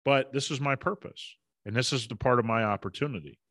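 A man's voice: mid-range voice, brisk, fairly bright tone, no echo, studio quality. A middle-aged man with a fever, co-hosting a business podcast in a blunt, emphatic casual way. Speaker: podcast co-host. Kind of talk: casual motivational talk.

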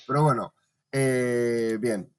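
A man hosting a casual podcast chat and running a quiz, relaxed and laughing often. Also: mid-range voice, takes it slowly, background noise. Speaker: podcast host.

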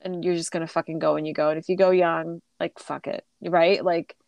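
A woman's voice: sits low, level tone, a little fast, gravelly, darkish tone, no echo. Female podcast co-host, casual and chatty, slightly stuffy, dry close recording. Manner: chatty, with frequent fillers and laughter. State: stuffy from a recent illness. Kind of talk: casual chat.

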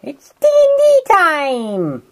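A woman's voice: very high-pitched, huge pitch swings, slow. A young woman bantering as a player on a casual tabletop game podcast, playful and theatrical.

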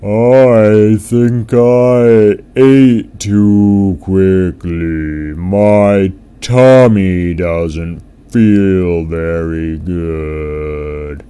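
A man voicing a huge, friendly, boastful blue whale in a children's story, with a slow, drawn-out, booming delivery. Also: fairly even intonation, dark tone, clean tone. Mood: queasy.

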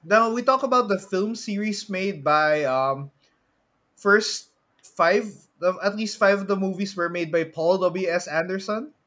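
A man with a Filipino accent chatting casually about movies as a podcast co-host, halting and prone to chuckling as he talks. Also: high voice, fairly bright tone.

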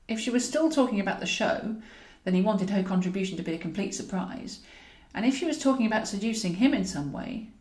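Female narrator, expressive comic romance audiobook, medium pitch, roomy echoey sound. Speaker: author reading own novel.

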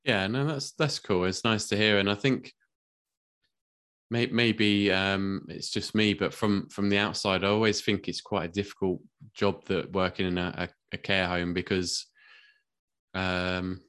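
A man's voice: deep voice, fairly even intonation, measured pace, fairly bright tone, smooth. A man hosting a podcast interview, asking questions in a hesitant, supportive way with many repeated words. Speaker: podcast host.

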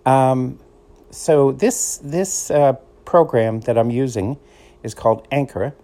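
A man's voice: mid-range voice, slow, dark tone. A middle-aged man with a Boston accent, hosting a casual solo podcast in a meandering, conversational way. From Boston area, USA. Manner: meandering, conversational, easygoing storyteller. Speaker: podcast host.

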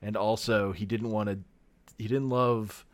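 A man co-hosting a podcast, giving background in an informal, unscripted and slightly halting way. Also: on the low side, fairly even intonation, dry recording. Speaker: podcast co-host. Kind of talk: casual unscripted explanatory chat.

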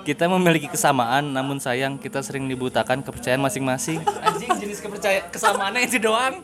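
A man's voice: on the high side, expressive, brisk, crowd noise. A young man co-hosting a podcast in casual, slangy banter, teasing and mocking with frequent exclamations. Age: young adult. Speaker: podcast co-host.

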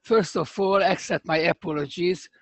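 An older man with a strong Hungarian accent answers interview questions in careful, halting English. His voice sits a little high and has a gravelly fry. The sound is dry and close. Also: steady tone, a bit bright, no room sound. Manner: halting, searching for words, many restarts. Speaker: professor guest. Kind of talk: remote interview conversation.

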